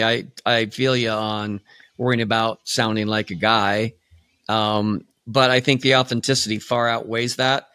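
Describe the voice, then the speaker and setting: A man's voice: medium pitch, pushed and bright, no room sound. A man hosting a conversational podcast interview in his natural, unaltered speaking voice. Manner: speaks in natural, unaltered voice when presenting feminine.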